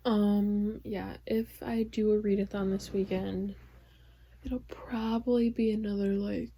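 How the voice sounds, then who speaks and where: Slow, hoarse young woman vlogger. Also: mid-range voice, flat delivery. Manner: rambling, filler-heavy, soft-spoken. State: hoarse, losing her voice. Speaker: book vlogger. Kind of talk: casual vlog chat.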